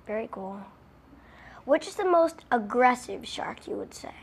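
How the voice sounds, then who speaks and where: A teenage girl as a student reporter, asking brisk, scripted interview questions. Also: fairly high pitch, expressive, gravelly, breathy edge.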